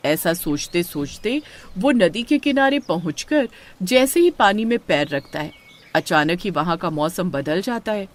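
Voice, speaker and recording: Animated woman narrating horror stories. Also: fairly low pitch, measured pace. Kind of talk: scripted horror story narration.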